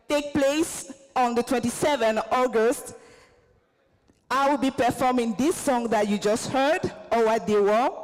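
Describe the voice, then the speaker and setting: A high, slow-spoken woman with an Ivorian accent addresses a convention crowd in earnest, spaced phrases. Her words carry through a long, echoing hall reverb. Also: a little mellow. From Côte d'Ivoire. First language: Baoulé. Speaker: teacher and singer giving a talk. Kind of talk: prepared speech at a convention. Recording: echoey hall.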